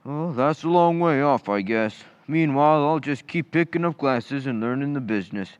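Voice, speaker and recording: An eager, slow-witted teenage lad acted by a man from an old-time radio comedy. His pitch jumps around with earnest gee-whiz enthusiasm. He talks in folksy, ungrammatical phrases, on a slightly muffled recording. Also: animated, clear, resonant tone, dry recording, lightly acted. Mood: naively proud. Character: dim, eager, good-natured teenage schoolboy busboy.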